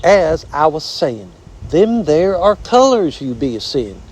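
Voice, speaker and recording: A man's voice: on the high side, big pitch swings, takes it slowly, darkish tone, slight background noise. A man voicing a folksy, pompous old armadillo uncle with a Southern drawl, blustering and repeating himself, in a storybook reading. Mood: blustery.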